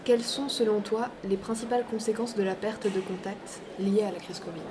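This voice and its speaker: A woman's voice: mid-range voice, fairly even intonation, brisk, noisy background. A woman interviewer reading out her questions.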